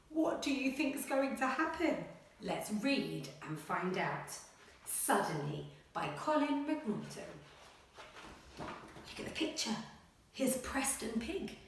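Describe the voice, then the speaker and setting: A woman primary school teacher speaking to children and reading a picture book aloud in a dramatic, expressive way. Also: sits high. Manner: dramatic, expressive, with gasps and suspenseful pauses. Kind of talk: animated children's story reading and lesson. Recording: very echoey room, slightly distant mic.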